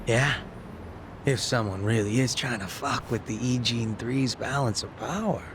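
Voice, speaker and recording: An actor playing a sly, mocking gang boss who makes gleeful threats in a video game dub. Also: male voice, mid-range voice, unhurried, background noise, lightly acted. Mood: knowing, sly. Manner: casual profane taunting.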